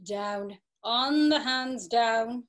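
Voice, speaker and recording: A woman's voice: on the high side, extremely slow. Woman playing a domineering, taunting brothel madam. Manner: commanding, taunting. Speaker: stage actor. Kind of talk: dramatic reading over a video call. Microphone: video call.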